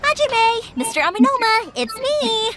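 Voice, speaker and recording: A woman's voice: extremely high pitch, sing-song, normal speed. A woman voicing a cheerful, chatty, exclamatory young fireworks maker in a video game. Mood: bright, eager. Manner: talkative, exclamatory, bubbly. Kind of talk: English video game voice acting. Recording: loud music behind.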